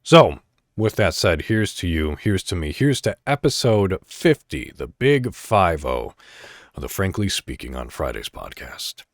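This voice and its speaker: A man hosting a podcast, speaking in a reflective solo monologue straight to the listener with deliberate, emphatic phrasing. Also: low voice, sing-song, dry recording, clean studio sound. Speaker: podcast host.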